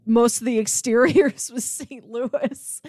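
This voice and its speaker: An American woman co-hosting a podcast, chatting casually about films in a chatty, animated way. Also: a little high, slow pace, slightly rough, no echo, studio quality. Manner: chatty, animated, often laughing while talking. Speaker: podcast co-host.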